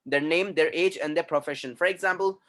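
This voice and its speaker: A man's voice: a little high, brisk, fairly bright tone. A man teaching an online English class, reading aloud and correcting students' pronunciation, often repeating his instructions.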